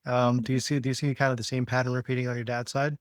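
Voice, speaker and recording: A man with a mid-range voice guides a healing session in a close, dry recording. He phrases things haltingly, affirms often and checks back with questions. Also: medium pitch, flat delivery, no echo. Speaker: healing practitioner and host.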